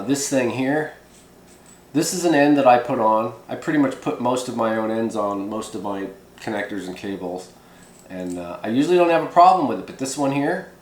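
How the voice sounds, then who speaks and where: A man hosting a hands-on how-to video in casual, folksy, rambling talk. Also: mid-range voice. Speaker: host of a hobby how-to video. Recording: echoey room.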